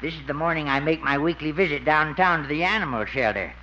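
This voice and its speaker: A man's voice: a little high, quick pace, pushed and bright. A man playing a kindly, sentimental old country veterinarian in a 1950s radio comedy, folksy and chuckling. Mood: fond, proud. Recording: noisy, muffled old radio broadcast.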